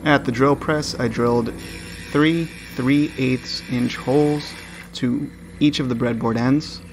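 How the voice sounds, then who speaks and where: A man's voice: mid-range voice, fairly even intonation, slow, a little hiss or hum. A man narrating a woodworking build step by step in a plain, matter-of-fact voice-over. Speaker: woodworking channel host.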